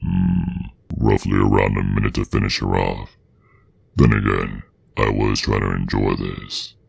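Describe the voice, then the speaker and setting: A man voicing a menacing, protective werewolf father in an ASMR roleplay, with a Southern US accent and a very deep, gravelly, husky voice, drawling out taunts. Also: monotone, measured pace, slight background noise, heavily acted. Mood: sadistic menace.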